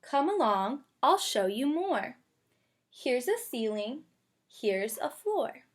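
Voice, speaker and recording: A woman with a slightly high voice teaches young children through a read-aloud. Her pace is very unhurried. She speaks in simple, instructive language and talks straight to her young listeners. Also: sing-song. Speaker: kindergarten teacher reading aloud. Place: bedroom at home.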